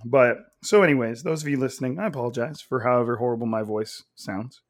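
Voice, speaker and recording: A man with a raspy, slightly nasal, hoarse voice hosting a casual sports podcast, talking loudly and with energy. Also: medium pitch, quick pace, no echo, studio quality. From Central California. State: hoarse from coaching. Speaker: podcast host.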